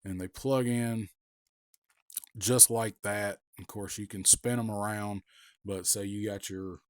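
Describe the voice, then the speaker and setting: A man with a cold, raspy, giving a casual, rambling toy review with many ums and restarts. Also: on the low side, steady tone, slow pace, no echo, studio quality.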